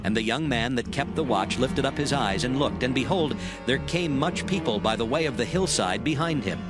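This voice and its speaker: A man's voice: mid-range voice, expressive, moderate pace, over loud music, rough recording. A man narrating scripture from a script in a solemn, formal manner.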